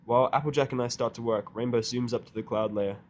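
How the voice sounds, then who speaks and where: A man's voice: medium pitch, fairly even intonation, fast, highs cut off. A man narrating a first-person story in character, with a dry, sardonic manner. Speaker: audiobook narrator.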